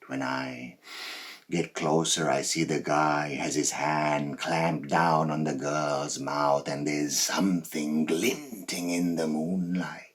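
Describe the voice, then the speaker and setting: A man acts out a cold, boastful serial killer in a stage monologue. The voice is extremely deep and husky, and he speaks slowly and deliberately. There is menace in the dramatic pauses and swells. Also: full character voice. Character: cold, boastful male psychopath serial killer telling his story.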